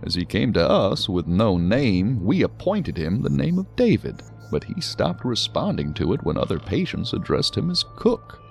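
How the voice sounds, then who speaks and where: An actor playing a talkative, learned psychiatrist who muses and explains at length in a science fiction audio drama. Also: male voice, lowish voice, big pitch swings, moderate pace, gravelly, hardly any pauses, a little mellow, soft background music. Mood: explanatory. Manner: wordy, musing, explanatory. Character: male psychiatrist, talkative, learned, genially hedging.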